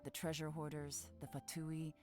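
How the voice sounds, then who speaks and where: Casting note: actress as a sharp, calm sleuth, low voice, measured delivery, faint music under. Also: female voice, natural voice. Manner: cool, measured, analytical. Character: composed, shrewd woman investigator. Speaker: game character.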